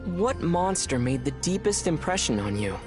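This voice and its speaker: A man voicing a calm, dry-witted, gently teasing young traveler in a video game. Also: fairly high pitch, moderate pace, over music. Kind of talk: video game character acting.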